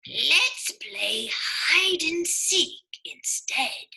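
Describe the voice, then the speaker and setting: A woman voicing a kind, gentle old man, a zookeeper, in an expressive, drawn-out storybook delivery. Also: high-pitched, creaky, voice pushed up, full character voice, rough recording. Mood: weary.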